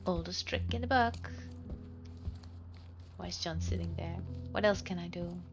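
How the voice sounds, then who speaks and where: A woman's voice: lowish voice, a little slow, creaky, music in the background. A woman casually commenting while playing a video game, musing and chatting to herself. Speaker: let's play host.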